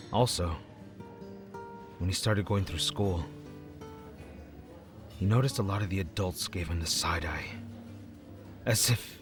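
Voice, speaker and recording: An actor playing a wry, jokey man with a troubled past, talking in a casual, slangy, hesitant way in an audio drama. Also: low-pitched. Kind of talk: acted audio drama dialogue.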